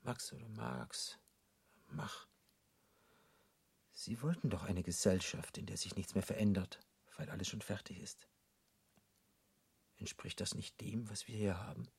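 Deep, husky, ironic devil. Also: male voice, measured pace, pauses often, no room sound, exaggerated voice. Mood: mocking, rhetorical. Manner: ironic, didactic, rhetorical. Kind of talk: literary radio drama dialogue.

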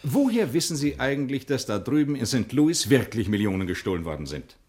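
An actor playing a shrewd village schoolteacher with a dry, needling, pointed manner in an old radio drama. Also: male voice, mid-range voice, big pitch swings, fairly quick, a little mellow, breathy edge. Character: wry, gruff male village schoolteacher, shrewdly stonewalling. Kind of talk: mid-century German radio drama acting.

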